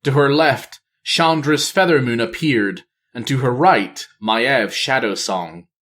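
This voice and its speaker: Slow, dramatic male fantasy narrator. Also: medium pitch, fairly bright tone. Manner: measured, theatrical. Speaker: audiobook reader.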